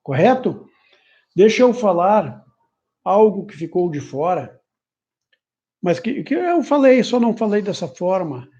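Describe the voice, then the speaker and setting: A man giving an informal, animated self-development lecture, sometimes mimicking complaints in a higher voice. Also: unhurried, pauses often. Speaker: personal development teacher. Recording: muffled lo-fi audio.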